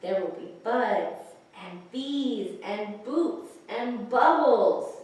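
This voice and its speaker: A woman reading picture books aloud to young children, pausing to explain the pictures and ask questions. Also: a little high, lively intonation, a little mellow. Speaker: children's librarian, story time host. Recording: echoey, distant microphone, faint hiss.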